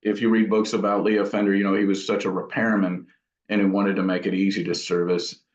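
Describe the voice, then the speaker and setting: A man's voice: deep voice, little pitch movement, a little fast. A man being interviewed as an amp builder, talking casually in thoughtful, rambling explanations. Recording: dull, echoey room sound.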